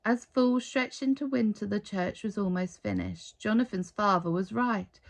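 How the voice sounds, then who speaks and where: A woman reading a children's story aloud in an expressive storytelling style. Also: mid-range voice, moderate pace, vocal fry. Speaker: storybook reader.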